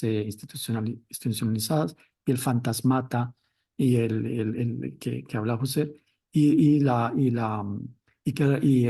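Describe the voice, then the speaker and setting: A man with a soft, husky voice leading an academic discussion. He hesitates often, restarts his phrases, and gropes for technical terms. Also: medium pitch. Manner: hesitant, many restarts and fillers. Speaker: moderator and speaker.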